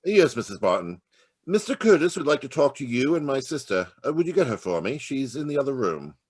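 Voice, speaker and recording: A man acting as a formal, guarded gentleman of a wealthy household in an old-time radio mystery. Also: medium pitch, very animated, a little fast, husky. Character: formal, guarded adult son of murdered millionaire. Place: remote video call performance.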